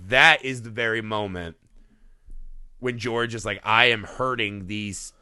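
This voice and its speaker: A middle-aged man talks film on a podcast in a sharp, tense tone with heavy swearing. He slips into quick put-on voices when he retells scenes. The sound is close and dry, with no room around it. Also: fairly low pitch, smooth, no room sound. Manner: profane, animated, acts out scenes in voices. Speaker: podcast co-host. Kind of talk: casual film discussion.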